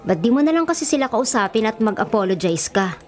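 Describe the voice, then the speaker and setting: A woman's voice: a little high, sing-song, fast. An actress playing a frank, sensible young woman in a Filipino radio drama. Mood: gentle.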